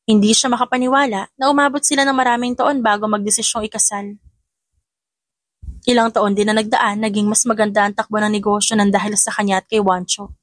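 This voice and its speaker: A woman's voice: medium pitch, level tone, brisk, dry recording. A woman with a Filipino accent reading a romantic story as scripted, dramatic audio narration.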